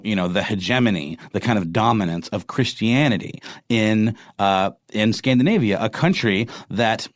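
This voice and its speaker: A man's voice: fairly low pitch, dry recording, clean studio sound. A man co-hosting a podcast, explaining things thoughtfully with many fillers along the way. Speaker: podcast co-host. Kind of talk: casual conversational discussion.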